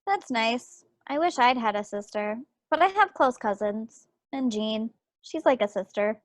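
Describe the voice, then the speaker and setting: A woman playing a sharp-witted, wry young small-town woman of the 1940s in a play reading over a video call. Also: fairly high pitch, animated, unhurried, dry recording. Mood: reserved, gentle. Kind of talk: stage play table read. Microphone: computer microphone on a video call.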